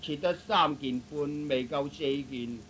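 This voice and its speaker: A man playing a slow-witted, literal-minded young shop servant in a Cantonese comic radio play, hesitant and earnest. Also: on the high side, a bit bright. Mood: flustered, obedient. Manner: dim, hesitant, pedantically literal. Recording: noisy old radio recording.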